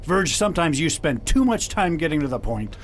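A man playing a hot-tempered, impatient patrol officer in a science fiction radio drama, gruff and exclaiming. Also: on the high side, big pitch swings, a little fast, a little hiss or hum. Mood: irritated, blustering.

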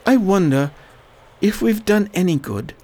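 Woman as worn-down male plague fighter, low and dry, animated intonation, amateur radio drama. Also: unhurried. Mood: weary. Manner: low, tired, wry. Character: weary Oxford-educated man fighting plague.

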